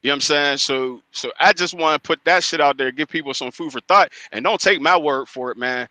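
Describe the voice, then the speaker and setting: A man calls in with a bright, forceful voice, recorded dry and close. He rambles and restarts his sentences, swearing casually as he goes. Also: fairly high pitch, a little fast, no echo. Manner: rambling, profane. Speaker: caller. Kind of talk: call-in radio comment.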